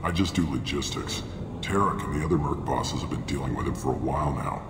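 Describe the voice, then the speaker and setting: An actor playing a blunt mercenary logistics officer who briefs new hires in a matter-of-fact way. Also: male voice, very deep voice, brisk, background noise. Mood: briefing. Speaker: mercenary recruiter. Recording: echoey game mix with music.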